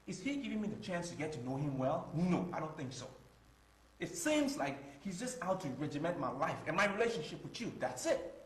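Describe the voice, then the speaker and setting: A proud, touchy young boyfriend in a drama, his voice on the high side and very lively in pitch. He speaks emphatically and repeats himself, in a roomy, echoing space. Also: fairly quick. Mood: indignant. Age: young adult. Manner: emphatic, repetitive protesting. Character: frustrated young boyfriend, proud and easily wounded. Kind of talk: screen drama acting. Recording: roomy echo, faint music underneath.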